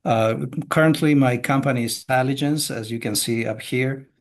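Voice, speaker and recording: A middle-aged man from Chile, a guest on a business podcast, talks through his working life at an even, measured pace. He often pauses on "uh" as he gathers his words, and his voice stays at an ordinary mid pitch. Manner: measured, frequent "uh" fillers. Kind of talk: casual podcast interview.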